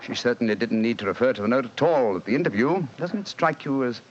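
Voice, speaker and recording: A man acting a brilliant, self-assured English detective in a 1940s radio drama, lecturing crisply and logically in a British accent. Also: medium pitch, lively intonation, fast talker, natural voice. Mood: probing. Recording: dull, hissy 1940s radio recording.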